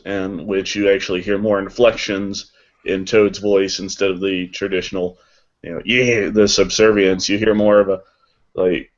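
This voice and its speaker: A man speaking as a voice actor in a casual panel chat, recorded over a lo-fi connection. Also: deep voice. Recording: dull lo-fi voice call.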